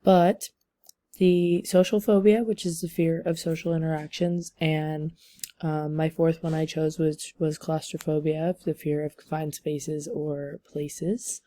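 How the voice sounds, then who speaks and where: A young woman giving a class presentation over slides, losing her voice, talking haltingly with many ums and likes. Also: low voice, flat delivery, warm tone, no room sound. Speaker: student presenter.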